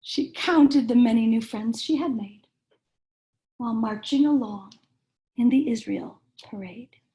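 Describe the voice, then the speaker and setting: A woman reading a children's storybook aloud in a measured, expressive storytelling manner. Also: fairly high pitch, poor audio. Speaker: cantor reading a bedtime story.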